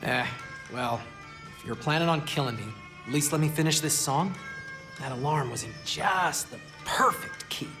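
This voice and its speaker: An actor playing an easygoing, sardonic dwarf musician in a video game, with a nonchalant, teasing delivery. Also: male voice, fairly high pitch, normal speed, over music, lightly acted. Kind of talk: video game character acting.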